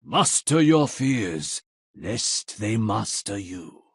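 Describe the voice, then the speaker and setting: Stoic game warrior, low-pitched, slightly husky, solemn. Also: male voice, big pitch swings, drawn-out words, no echo, clean studio sound, heavily acted. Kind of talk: video game hero voice lines.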